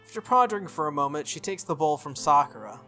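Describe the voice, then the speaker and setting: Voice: mid-range voice, very animated, fast, bright, forceful tone. Let's-play host reading over game music. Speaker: video game playthrough host. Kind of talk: reading a visual novel aloud with casual commentary. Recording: music under the voice.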